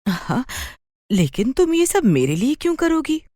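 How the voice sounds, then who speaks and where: A woman playing a hard-working village mother, scolding yet soft-hearted, in a children's cartoon story. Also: sits high, very animated, a little slow, no room sound, studio quality, pitched above the performer's own voice, exaggerated voice. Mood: startled, questioning.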